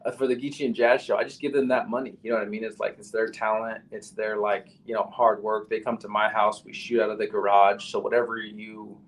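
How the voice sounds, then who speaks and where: A man's voice: on the low side, little pitch movement, fairly quick, few pauses, poor audio. A man with a nasal voice, a battle rapper talking casually as a podcast guest, full of "you know" and "like".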